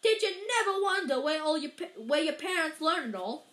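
A woman voicing a huge, gruff male giant in a storybook, booming and growling with rustic, emphatic speech and a hot temper. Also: sing-song, measured pace. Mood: outraged. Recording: echoey, roomy sound.

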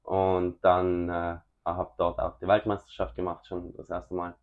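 Young man from South Tyrol, very low voice, muffled lo-fi audio; halting guest answers, lots of fillers. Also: completely flat delivery. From South Tyrol, Italy. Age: young adult. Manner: halting, many fillers and restarts. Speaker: guest, racing driver. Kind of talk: casual interview answers.

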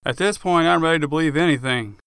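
Casting: male narrator as an angry, blunt male cop in an audiobook drama, pitched up, sharp, emphatic and demanding. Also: fast, smooth, dry recording, studio quality. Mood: agitated, insistent. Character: furious, exasperated male cop friend, bluntly confrontational.

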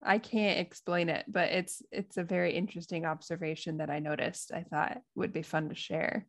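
A woman's voice: fairly low pitch, fairly even intonation, normal speed. A woman with an American accent co-hosting a casual podcast, thinking aloud and laughing often. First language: English. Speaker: podcast co-host.

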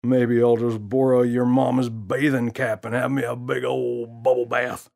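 A man's voice: mid-range voice, flat delivery, a little slow, vocal fry, darkish tone. A man voicing a brash, cocky male talking gorilla who brags and jokes in a slangy way. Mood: cocky, teasing. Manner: slangy, boastful, sly jokester.

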